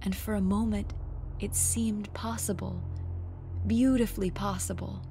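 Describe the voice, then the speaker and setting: A woman narrating a scripted horror story in a dramatic, measured way. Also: mid-range voice, steady tone, dark tone, a little hiss or hum. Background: music.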